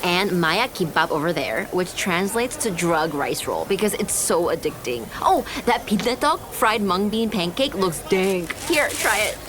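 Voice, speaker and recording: A woman's voice: on the low side, lively intonation, measured pace, few pauses. An actress playing a blunt, sarcastic, cool young rich woman in a romcom audio drama, with slangy delivery. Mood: cool, proud. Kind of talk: romcom podcast drama acting. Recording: clear ambient background noise.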